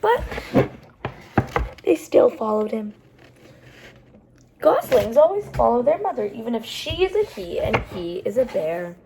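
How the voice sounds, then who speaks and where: Slow-paced, high-voiced woman reading picture books. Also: background noise. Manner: animated, explains pictures and words as she goes. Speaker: storytime host reading picture books aloud. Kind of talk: expressive read-aloud for young children, with asides to the listeners.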